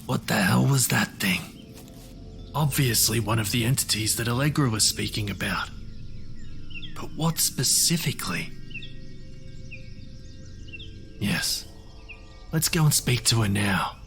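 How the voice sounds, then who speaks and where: A man playing a courteous, self-assured magical wanderer who collects stories, speaking in a measured, formal way in an audio drama. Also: medium pitch, expressive, creaky, a bit bright, soft background music. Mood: puzzled, resolute.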